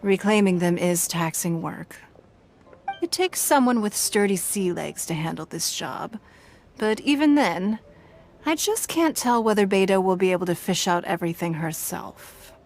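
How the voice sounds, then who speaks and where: A woman voicing a composed, shrewd, elegant businesswoman in a video game, speaking in a formal, measured, precise way. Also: medium pitch, lively intonation, darkish tone, a little hiss or hum, lightly acted. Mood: composed, coy. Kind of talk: scripted game dialogue.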